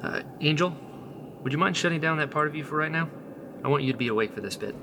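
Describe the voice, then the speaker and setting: A man playing an earnest, protective rebel leader in a sci-fi radio drama, speaking reassuringly with breathy pauses. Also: a little high, lively intonation, noisy background. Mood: rallying.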